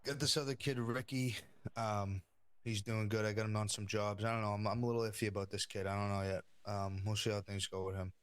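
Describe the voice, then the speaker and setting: A man's voice: on the low side, little pitch movement, brisk, a bit bright, clear, resonant tone, no room sound, lightly acted. A man playing a casual, wary mob crew leader in improvised crime role-play, offhand and hesitant, talking in short phrases. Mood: casual, unsure.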